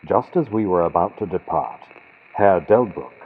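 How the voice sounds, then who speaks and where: Deep husky guy reading an old spooky story, real theatrical, sounds like an old radio. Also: expressive, moderate pace. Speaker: audiobook narrator. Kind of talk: dramatic scripted narration of a gothic horror story. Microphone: built-in computer microphone. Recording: muffled vintage-radio sound from built-in computer mic.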